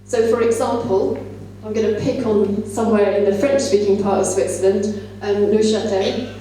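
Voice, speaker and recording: Echoey, mellow-voiced woman giving a talk. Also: mid-range voice, fairly even intonation, moderate pace, soft background music. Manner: explanatory, conversational. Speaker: conference plenary speaker, English teacher trainer. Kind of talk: conference talk to teachers. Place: large indoor conference room. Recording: echoey hall, distant mic, faint hum.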